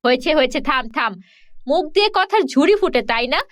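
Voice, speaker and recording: A woman's voice: high voice, lively intonation, fast talker, dry recording, studio quality. A woman playing a poor, proud village mother in a cartoon, firm and chiding but caring.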